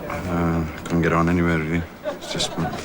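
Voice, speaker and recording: A man's voice: sits low, completely flat delivery, a little fast. A young South Welsh man, a soldier, answering interview questions in a plain, laconic way. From South Wales. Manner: plain-spoken, laconic, trailing off. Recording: noisy field recording.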